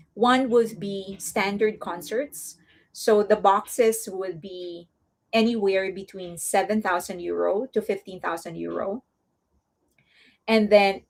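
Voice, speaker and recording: Woman, accented English, casual rambling host, laughs easily. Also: mid-range voice. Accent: non-native English accent. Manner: chatty, rambling, laughs often; speaks softly and coos to a baby at times. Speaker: host of a fan news channel. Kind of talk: casual livestream chat.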